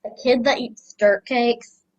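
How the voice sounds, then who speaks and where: A woman's voice: fairly high pitch, steady tone, slow pace, a little mellow, highs cut off. A young female student playing a snarky, easily grossed-out older sister in a children's radio play. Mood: puzzled.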